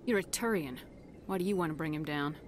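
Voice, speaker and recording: A woman's voice: deep voice, fast talker, a little hiss or hum, lightly acted. An actress voicing a firm, principled female military commander in a video game, direct and commanding. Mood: firm, composed.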